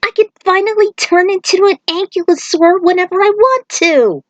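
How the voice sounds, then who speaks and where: A woman voicing an excitable, childlike female fighter in a fan fiction story reading. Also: very high voice, normal speed, no echo, dull audio, voice pushed up. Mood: thrilled.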